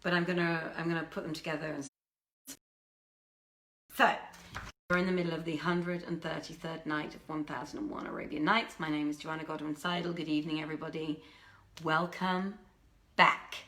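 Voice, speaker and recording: Bright-voiced woman reading in an echoey room. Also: low voice, little pitch movement, normal speed, pauses often. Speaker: storyteller, reader. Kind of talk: dramatic live reading of classic tales. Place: indoors in a theatre, live stream. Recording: roomy, echoing theatre sound.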